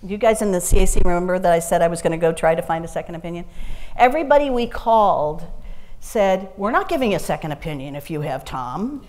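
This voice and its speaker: A woman's voice: lowish voice, animated, fast, a little mellow, poor audio. A woman school superintendent introducing speakers at a public meeting in a chatty, informal, anecdotal way. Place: city meeting room.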